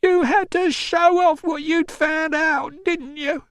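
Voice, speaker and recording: A very high, tearful young woman, as a male narrator acts her out, an enslaved mother in an audiobook. She is distraught and bitter, wailing her accusations in a close, dry recording. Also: normal speed, dry recording. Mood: hysterical rage.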